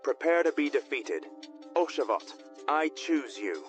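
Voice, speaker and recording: Young cartoon trainer, determined, low voice with huge swings, battle dialogue under loud music. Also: female voice, very animated, measured pace. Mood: confident, determined.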